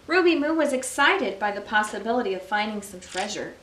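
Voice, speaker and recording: A woman reading a children's storybook aloud as a library story time host, with expressive, deliberate phrasing for young listeners. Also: mid-range voice, slight background noise. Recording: roomy echo, distant microphone.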